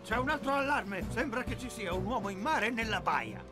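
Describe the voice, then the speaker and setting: Cartoon station officer: high, animated, commanding, urgent, over loud music. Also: male voice, moderate pace. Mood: alarmed. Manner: urgent, proclaiming. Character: excitable, commanding male fire station officer. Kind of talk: animated children's cartoon dub.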